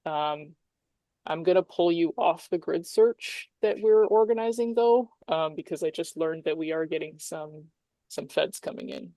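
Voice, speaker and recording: A woman's voice: fairly low pitch, measured pace, mellow, dry recording. A woman playing a plain-spoken, worried local lawman briefing a colleague. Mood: earnest, tired.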